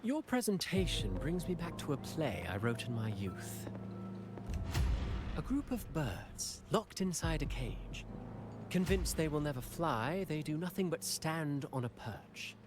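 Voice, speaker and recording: An actor playing an enigmatic, courtly traveling playwright in a video game, measured and theatrical. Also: male voice, a little high, big pitch swings, music in the background. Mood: musing, theatrical. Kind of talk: dramatic video game acting.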